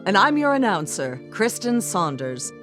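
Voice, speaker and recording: Female announcer for radio drama teasers and credits: animated intonation, scripted read, music bed underneath. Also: mid-range voice, normal speed.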